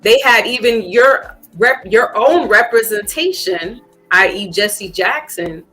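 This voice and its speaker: A woman's voice: a little high, expressive, slow, sharp tone, faint music behind. A woman hosting a podcast speaks in casual conversation, reflective and full of fillers, then grows emphatic. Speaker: podcast host.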